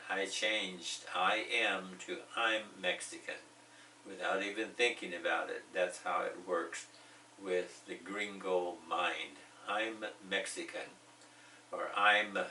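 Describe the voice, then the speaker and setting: Guy teaching english real slow, deep voice, mic kinda far off in an echoey room. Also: pushed and bright, a little hiss or hum. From United States. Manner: slow, repeats example phrases, joking asides. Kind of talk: bilingual language lesson.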